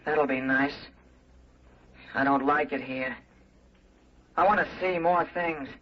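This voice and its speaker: An actor playing a childlike, obedient killer robot in a 1950s radio drama, with simple, literal, dutiful speech. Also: male voice, fairly high pitch, slow. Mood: childlike, wanting. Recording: muffled, noisy vintage radio recording.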